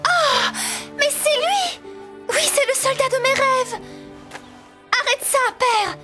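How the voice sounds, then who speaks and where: An actress playing a headstrong, lovestruck young princess in a cartoon, speaking with passion. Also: female voice, extremely high pitch, slow, music in the background. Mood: desperate.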